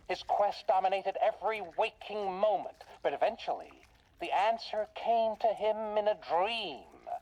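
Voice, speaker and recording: Woman acting as macabre radio host, folksy, theatrical, medium pitch, dramatic pauses, scripted horror storytelling, game voice. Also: a little mellow, lo-fi sound, natural voice.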